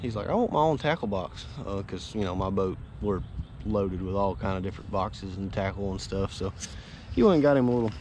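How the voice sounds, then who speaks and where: Charter captain, 30s, deep-ish easygoing voice, outdoor action-cam sound with light background noise. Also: male voice, animated, brisk, darkish tone. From Florida Panhandle, US. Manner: easygoing, rambling, coaching kids between asides. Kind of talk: casual outdoor vlog talk. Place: outdoors by a neighborhood pond. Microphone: wearable action camera.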